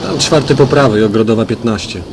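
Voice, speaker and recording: A man acts as a wry police detective in a crime radio play. His delivery is casual and laconic, at a middling pitch. Faint noise sits behind the voice. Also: fairly quick, lightly acted.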